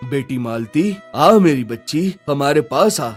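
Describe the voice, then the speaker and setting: A man's voice: on the high side, expressive, measured pace. A man voicing an authoritative king and father in a cartoon, formal and commanding. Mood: fatherly tenderness. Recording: music under the voice.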